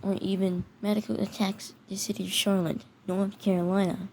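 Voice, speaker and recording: A speaker narrating a homemade scenario video in a halting way, often repeating words. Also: medium pitch, little pitch movement, darkish tone, low-quality recording. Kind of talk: amateur narration of a mock emergency alert scenario video. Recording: noticeable background noise.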